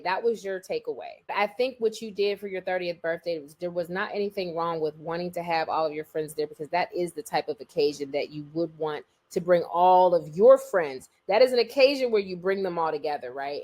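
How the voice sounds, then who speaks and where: A woman's voice: fairly low pitch, darkish tone. A woman co-hosting a podcast in casual chat, talking emphatically and repeating phrases to drive a point home. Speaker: podcast co-host.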